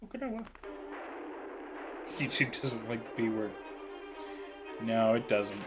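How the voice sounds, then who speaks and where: A man's voice: on the low side, big pitch swings, a little slow, over music. A man hosting a livestream, chatting casually and reacting with playful, joking asides. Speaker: livestream host.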